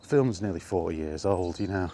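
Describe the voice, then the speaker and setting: A man's voice: low voice, expressive, fairly quick, dark tone. A man giving a casual, conversational monologue as a vlog host, often throwing in rhetorical questions and asides. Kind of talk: casual film chat monologue. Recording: clear background ambient noise.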